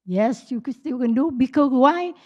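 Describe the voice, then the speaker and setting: A woman's voice: sits high, expressive, normal speed, lo-fi sound. Hesitant woman speaking at a conference mic. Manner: hesitant, many fillers and restarts, broken English. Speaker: panel speaker. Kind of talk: answering audience questions. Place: conference ballroom. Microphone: stand mic close up.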